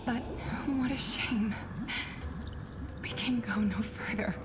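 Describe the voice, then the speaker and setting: A woman playing a gentle, doomed sea siren in a fantasy game, speaking poetically and with regret. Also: a little high, fairly even intonation, slow pace, noisy background. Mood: regretful, pleading. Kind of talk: solemn dramatic game dialogue. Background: game music.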